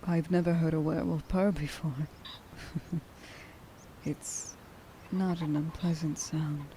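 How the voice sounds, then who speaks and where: A woman playing a tender, emotional young huntress in an ASMR roleplay, speaking softly and breathily and often dropping toward a whisper. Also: low voice, steady tone, slow, slight background noise. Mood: tender, relieved. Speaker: voice actor.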